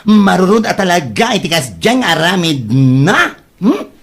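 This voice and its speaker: Woman acting a slow, swooping, theatrical best friend. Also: lowish voice, big pitch swings, breathy edge, full character voice. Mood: gossipy. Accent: Ilocano. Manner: exclamatory, drawling, theatrical. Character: sympathetic, theatrical young female best friend, gossipy. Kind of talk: Ilocano comedy drama acting.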